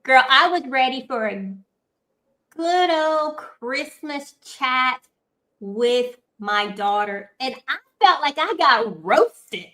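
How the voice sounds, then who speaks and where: High, bright-voiced woman hosting. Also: takes it slowly. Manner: lively, playful, Southern-style "girl" and "y'all" banter. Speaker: podcast host. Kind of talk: casual livestream chat. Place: home, on a video call.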